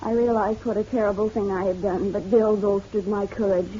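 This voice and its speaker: An actress playing a distraught, guilt-ridden wife in a melodramatic radio drama, halting and emotional. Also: female voice, mid-range voice, fairly even intonation, warm tone, slightly rough, close to an everyday voice. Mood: sorrowful confession. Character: distraught, guilt-ridden married woman confessing to a detective. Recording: dull lo-fi radio drama, faint noise.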